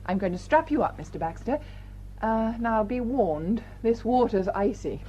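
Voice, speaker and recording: A British actress playing a brisk, unflappable village doctor in a radio drama, wry and matter-of-fact. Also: female voice, on the high side, lively intonation, dark tone, a little hiss or hum, natural voice.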